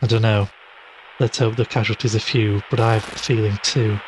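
An actor playing an earnest, curious podcaster investigating a mystery in an audio drama, with a husky voice. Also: male voice, fairly low pitch, fairly even intonation, moderate pace, background noise, close to an everyday voice. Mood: thoughtful. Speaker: podcast host. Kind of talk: audio drama dialogue and narration.

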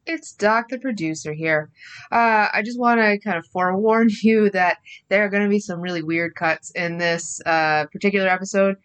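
A woman's voice: mid-range voice, normal speed, a bit bright, clear, resonant tone. A woman producer giving a chatty intro to a podcast episode, laughing between words. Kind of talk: spoken intro.

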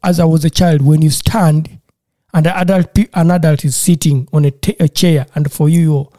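A man from Uganda speaks in a casual interview, earnest and a little halting, often repeating words as he restarts. His voice is very dark and mellow. The sound is dry and close. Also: fairly high pitch, steady tone, dry recording.

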